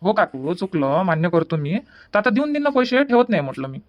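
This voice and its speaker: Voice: medium pitch, big pitch swings, brisk, highs cut off, close to an everyday voice. A performer voicing a polite, apologetic young village man, who hesitates and says "uh" before he explains. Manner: apologetic, hesitant, starts lines with "uh".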